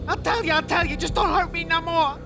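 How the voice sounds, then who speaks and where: An actor playing a cowardly, foul-tempered gangster in a video game, whining and blustering frantically. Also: male voice, very high voice, fairly quick, music in the background. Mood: terrified, pleading. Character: panicky, whiny male gangster, cowardly but foul-tempered.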